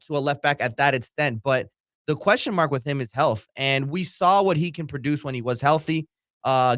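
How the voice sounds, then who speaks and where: A man co-hosting a sports show, giving measured football analysis in a conversational way. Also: medium pitch, fairly bright tone, dry recording, clean studio sound.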